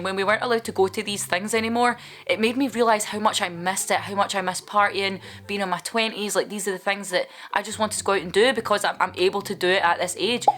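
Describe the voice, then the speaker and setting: A woman's voice: medium pitch, a bit bright. A woman, vlogging in a chatty, candid way as she answers viewer questions, with playful asides. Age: 20s.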